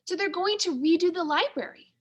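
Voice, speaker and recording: A woman reading a storybook as a timid, thoughtful male mouse, with earnest, expressive delivery. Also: extremely high pitch, fairly quick, highs cut off, pitched above the performer's own voice. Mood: worried. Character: timid, thoughtful male library mouse who craves coziness.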